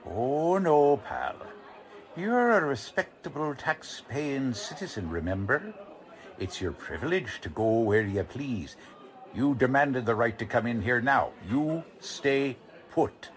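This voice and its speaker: An actor playing a gruff, bossy police lieutenant with tough-guy slang and brusque wisecracks, in 1940s radio drama style. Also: medium pitch, very animated, slow. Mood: sardonic, firm. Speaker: police detective character.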